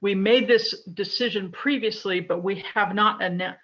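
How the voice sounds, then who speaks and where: A man questioning the board in an online meeting, halting and correcting himself as he goes. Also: high voice, bright, forceful tone, dull audio. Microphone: video call.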